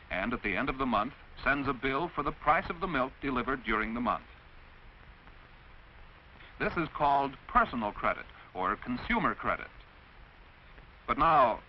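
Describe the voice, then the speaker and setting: An American man narrates in the manner of a mid-century instructional film. His medium-pitched voice moves with lively intonation as he explains in a measured, carefully enunciated, didactic way. The old film soundtrack is dull, with faint noise.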